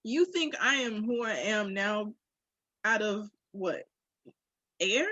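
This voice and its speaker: A woman's voice: medium pitch, animated, slow pace, pushed and bright, dry recording, highs cut off. A woman in casual, candid conversation, speaking in an informal, reflective and emphatic way. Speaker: podcast co-host. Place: phone call.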